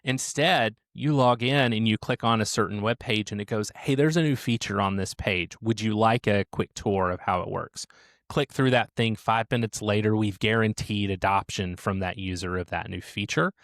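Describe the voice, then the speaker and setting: A man with a low voice answers interview questions in a measured, explanatory way. He sounds close and dry. He slows down whenever he stresses a point. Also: a bit bright, no room sound. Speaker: support team leader, guest.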